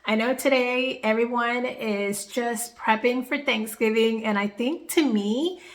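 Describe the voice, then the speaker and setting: A woman's voice: a little high, unhurried. A young woman with a congested, nasal voice, chatting cheerfully and casually to camera in a home vlog. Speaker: vlogger.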